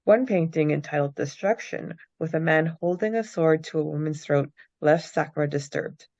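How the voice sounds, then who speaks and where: Podcast co-host: young woman, low voice, recap and book-club style, slightly lo-fi mic. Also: moderate pace, darkish tone. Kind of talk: reading a recap, then casual book-club chat.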